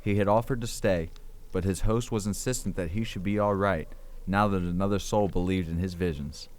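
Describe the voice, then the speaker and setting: A man's voice: low-pitched, a little fast. A man narrating an audio drama in a scripted storytelling style.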